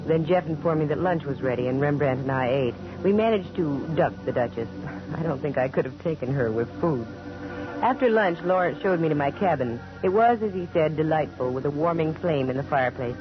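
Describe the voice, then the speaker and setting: An actress playing a wry, self-assured private investigator, dry and breezy, in 1940s radio acting and narration. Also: female voice, low voice, expressive, fairly quick, music in the background. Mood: narrating. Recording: muffled old radio recording.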